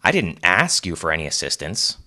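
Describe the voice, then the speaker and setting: A man's voice: low-pitched, sing-song, brisk, pushed and bright, full character voice. A man doing a stingy, smug grown man.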